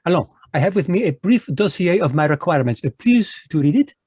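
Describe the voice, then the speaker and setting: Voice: mid-range voice, big pitch swings, a little fast, darkish tone, slightly rough, dry recording, clean studio sound. A performer playing a fussy, proud Belgian detective with a French accent, speaking formally with French words mixed in, in old-time radio style over a video call. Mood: fussy, polite. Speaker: voice actor in radio play. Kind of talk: 1940s radio acting.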